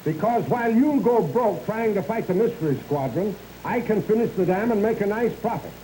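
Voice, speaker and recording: A smug scheming rival. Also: male voice, high-pitched, animated, fairly quick, warm tone, rough recording. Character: smooth scheming male rival contractor. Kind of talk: 1930s film serial acting. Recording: hissy old VHS film transfer.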